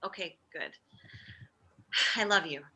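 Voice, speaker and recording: A woman acting an excitable, jealous, meddling middle-aged wife and mother in a comedy script read, blunt and needy. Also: lowish voice, slow, heavily acted. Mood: anxious, conciliatory.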